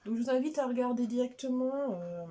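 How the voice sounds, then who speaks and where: A woman's voice: fairly high pitch, expressive, a little fast, dark tone. A woman chatting casually as she unboxes beauty products, rambling and interrupting herself, sometimes dropping to a near-whisper. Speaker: beauty vlogger.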